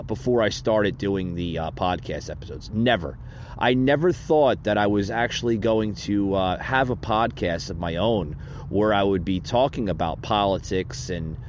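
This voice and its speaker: A man hosting a political podcast, talking in a halting way with many pauses. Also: lowish voice, darkish tone, a little hiss or hum. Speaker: podcast host. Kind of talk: casual political podcast talk. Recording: dull lo-fi audio.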